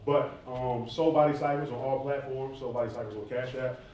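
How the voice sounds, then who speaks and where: A man's voice: mid-range voice, fairly even intonation, fairly quick, darkish tone. Male rapper, thirties, conversational and emphatic audience Q&A, roomy distant sound with faint noise. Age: 30s. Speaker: rapper and stage performer answering audience questions. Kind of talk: informal question-and-answer talk to students. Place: roomy indoor campus event space. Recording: echoey room, distant mic, faint noise.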